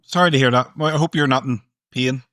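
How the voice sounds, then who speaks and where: A man's voice: mid-range voice, fairly even intonation, fast talker, bright, forceful tone, dry recording, studio quality. A man hosting a podcast, asking long questions and giving short reactions. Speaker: podcast host. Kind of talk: interview questions.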